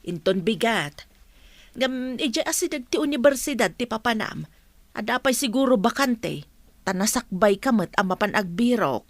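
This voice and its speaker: A woman playing a caring, practical village mother who advises gently in an audio drama. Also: a little high, animated, normal speed, dry recording. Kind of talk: dialogue in an amateur audio drama.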